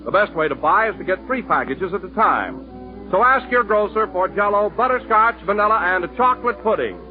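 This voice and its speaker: Upbeat 1930s radio announcer. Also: male voice, high-pitched, expressive, moderate pace, soft background music. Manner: smooth, upbeat. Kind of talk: radio ad read. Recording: tinny 1930s radio broadcast.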